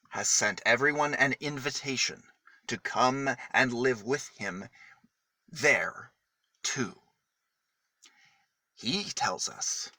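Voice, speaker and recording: A man reads a story to children with very lively, swooping intonation. He takes it slowly, phrase by phrase, pausing for emphasis. His voice is bright and the sound is close and dry. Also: medium pitch, no room sound. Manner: dramatic, deliberate phrasing with emphatic pauses. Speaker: storyteller reading a children's book. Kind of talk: expressive read-aloud story for children.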